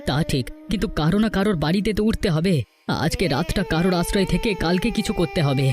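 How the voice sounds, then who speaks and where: A proud, homeless village father from a cartoon folk tale , acted by a man. His voice sits a bit high and he declaims earnestly. Light music plays underneath. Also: brisk, close to an everyday voice. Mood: reassuring. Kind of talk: Bengali cartoon folk-tale dialogue acting.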